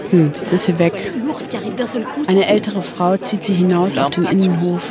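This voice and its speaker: A woman's voice: lowish voice, flat delivery, normal speed, lightly acted. Actress as hesitant woman reporter, crowd behind. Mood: distracted, musing. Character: hesitant, self-doubting woman radio journalist recording herself. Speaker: radio play protagonist, reporter. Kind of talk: naturalistic radio drama monologue.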